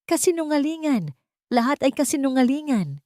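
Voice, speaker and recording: A woman's voice: high voice, very animated, moderate pace, dark tone, dry recording, clean studio sound, voice pushed up. A woman voicing a stern, wounded husband demanding the truth in an audio drama. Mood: furious.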